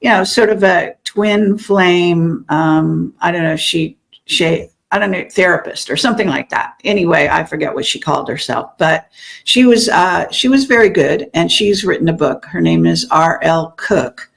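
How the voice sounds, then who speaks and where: A woman's voice: fairly low pitch, normal speed, creaky. An older woman in casual interview chat, rambling and meandering with many fillers. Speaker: interview guest, show host.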